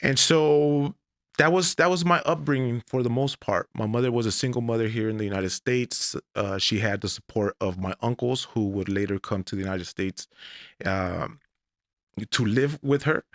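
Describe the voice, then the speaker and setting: A man in his forties, originally from Mexico, telling his life story as a guest on an interview podcast in a deliberate, measured way. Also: mid-range voice, no room sound, clean studio sound. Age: 40s. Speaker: guest, English professor.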